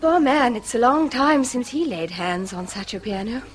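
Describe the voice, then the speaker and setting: A woman's voice: a little high, expressive, normal speed, soft background music, close to an everyday voice. Woman acting English schoolmaster's wife, radio drama, restrained, polite, hesitant delivery. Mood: apologetic, restrained.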